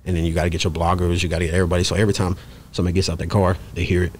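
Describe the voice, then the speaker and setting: Male podcast guest, low voice, emphatic and repetitive, casual talk over noticeable background noise. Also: flat delivery, rapid-fire. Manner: emphatic, repetitive, peppers talk with "you know what I'm saying". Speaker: interview guest, rapper. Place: small podcast studio in a shop. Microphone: close studio microphone on stand.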